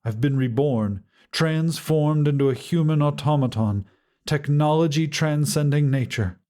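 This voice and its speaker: A man narrating first-person fiction in a measured, solemn and dramatic way. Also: medium pitch, warm tone. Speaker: audiobook narrator.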